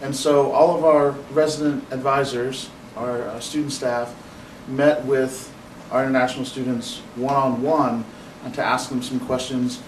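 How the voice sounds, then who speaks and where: A man presenting research at a conference panel, steady and explanatory with fillers. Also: mid-range voice, a little slow, a little hiss or hum. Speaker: residential education staff presenter. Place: classroom. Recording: echoey classroom, distant mic.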